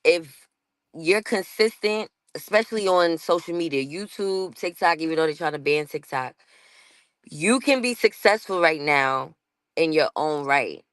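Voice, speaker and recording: A woman's voice: deep voice, animated, a little slow, a bit bright, no echo. Chatty New York woman, dry sound. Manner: chatty, laughs often, self-interrupting. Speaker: panel guest. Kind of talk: casual panel talk.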